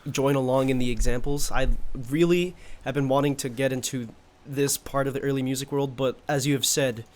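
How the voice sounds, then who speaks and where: A man speaking fluently as a guest co-reader in a casual conversation, relaxed and thoughtful. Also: mid-range voice, a little fast.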